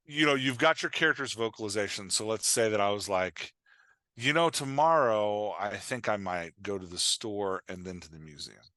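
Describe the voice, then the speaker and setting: Low-voiced man explaining, dry close recording. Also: no echo. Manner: animated explainer, frequent "like" and "right?" check-ins. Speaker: podcast guest, dialogue writing coach. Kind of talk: casual explanatory chat with improvised examples.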